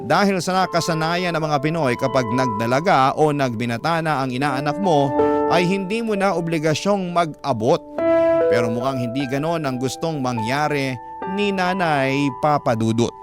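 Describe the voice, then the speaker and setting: Filipino man narrating over loud music. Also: a little high, animated, moderate pace. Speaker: radio drama storyteller. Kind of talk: scripted first-person story narration. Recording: loud music bed under the voice. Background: instrumental music.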